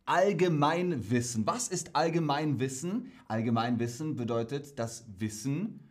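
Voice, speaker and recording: Jokey animated man in his thirties. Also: mid-range voice, measured pace. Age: 30s. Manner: jokey, animated, laughs often. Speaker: streamer and quiz co-host. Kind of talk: playful casual chat.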